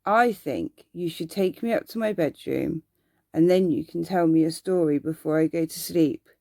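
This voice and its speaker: A woman's voice: on the low side, normal speed, warm tone, no echo, studio quality, natural voice. A woman voicing a precocious, earnest little boy, matter-of-fact and knowing, in an ASMR bedtime story. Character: precocious, earnest little boy who loves scary monsters.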